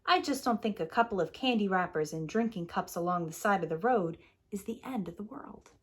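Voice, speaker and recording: A woman's voice: mid-range voice, expressive, a little fast, slightly rough. A woman voicing a breezy, dismissive father bear in a storybook, folksy and self-assured.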